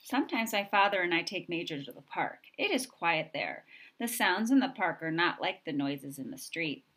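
A woman reading a children's picture book aloud in an expressive way, with simple, deliberate phrasing for young listeners. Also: on the low side. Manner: expressive, acting out sound effects. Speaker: storybook reader.

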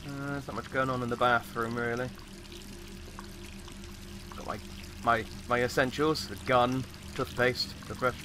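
A man with a slightly croaky voice giving casual, rambling commentary as he plays a game. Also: medium pitch, fairly even intonation, unhurried, long pauses, music in the background. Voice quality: slightly croaky, recovering from voice loss. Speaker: gamer, host of a let's play.

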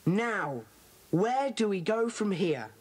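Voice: mid-range voice, animated, breathy edge. An actor playing a bossy, decisive schoolboy who leads child detectives in a children's audio drama. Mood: thoughtful, organising. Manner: brisk, decisive, organising. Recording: noisy old cassette transfer.

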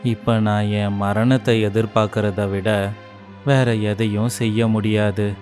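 A man voicing a frail, abandoned old man in an animated story, a demon in disguise who speaks slowly and wearily. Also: lowish voice, soft background music. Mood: self-pitying. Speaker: voice actor.

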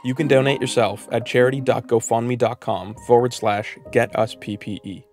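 A man's voice: mid-range voice, steady tone, normal speed. A man speaking as a podcast host, reading scripted credits and announcements. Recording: music behind the voice.

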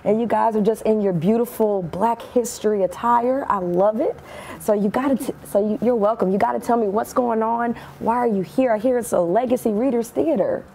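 A woman's voice: fairly high pitch, brisk, creaky, dark tone, slight background noise. A woman hosting a local TV interview in an effusive, chatty, conversational manner. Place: small furnished indoor sitting-room set.

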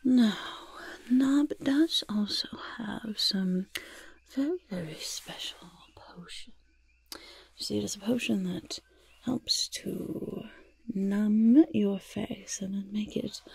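A sly goblin schemer, played by a woman in a soft-spoken ASMR roleplay. The voice is very husky and breathy with a crackle of fry, held close to the mic. It fusses along deliberately, smug and conspiratorial. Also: medium pitch, exaggerated voice. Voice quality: breathy, hushed. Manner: slow, deliberate, refers to self in third person. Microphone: close microphone.

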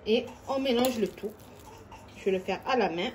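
High-voiced woman demonstrating home cooking. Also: normal speed, heavy background noise. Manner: chatty, step-by-step, addresses viewers directly. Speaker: cooking channel host. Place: home kitchen.

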